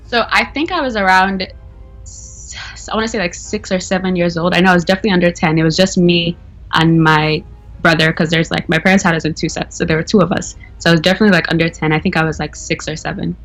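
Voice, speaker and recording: A woman's voice: lowish voice, steady tone, fast, soft background music, rough recording. A young woman from Nigeria, talking casually as a caller, with lots of fillers and self-corrections. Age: young adult. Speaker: anonymous caller. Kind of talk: casual interview.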